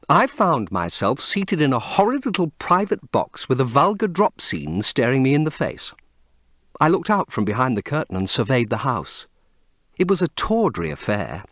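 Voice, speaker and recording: Audiobook role, male reader: impressionable young upper-class Englishman, earnest and florid, highly animated pitch. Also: no room sound, studio quality. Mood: amused, disdainful. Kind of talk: audiobook character reading.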